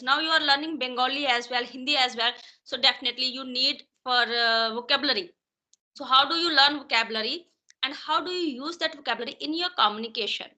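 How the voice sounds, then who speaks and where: Bright-voiced woman host. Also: high-pitched. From India. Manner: many fillers. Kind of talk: casual conversation practice.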